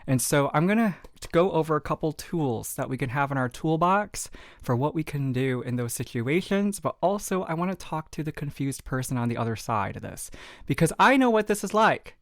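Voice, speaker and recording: A man's voice: on the high side, expressive, moderate pace, dry recording, studio quality. A man with a Southern American accent hosting a podcast, talking straight to the listener in an upbeat, encouraging, folksy way. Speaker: podcast host. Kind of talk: motivational podcast monologue.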